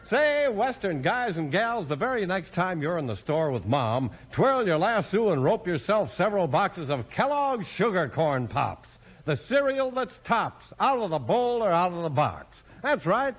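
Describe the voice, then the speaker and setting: A man's voice: high-pitched, sing-song, a little slow. A man with an American accent doing emphatic, polished 1950s radio announcing and scripted narration. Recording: dull vintage 1950s radio recording.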